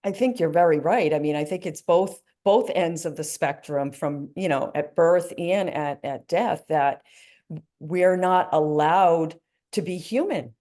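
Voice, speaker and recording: A woman's voice: deep voice, measured pace, mellow. A woman guest speaking as a grief coach, answering earnestly and reflectively, with frequent "you know"s. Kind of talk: interview answers.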